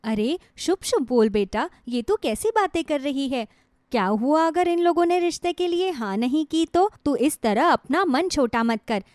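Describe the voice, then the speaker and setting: A woman's voice: high-pitched, animated, normal speed, darkish tone, no echo, clean studio sound. Woman playing an earnest, devoted middle-aged mother. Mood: comforting. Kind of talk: Hindi moral story cartoon acting.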